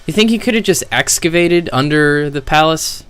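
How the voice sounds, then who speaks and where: A man's voice: fairly high pitch, expressive, normal speed, fairly bright tone, clear, resonant tone. A man giving casual, slangy streamer commentary on video game cutscenes, with swearing and incredulous questions.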